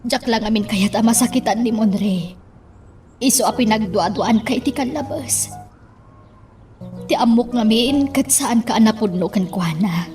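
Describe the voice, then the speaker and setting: A woman's voice: on the high side, measured pace, a little mellow, far from the mic, soft background music, lightly acted. A woman playing an apologetic older mother. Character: older mother figure making a regretful confession.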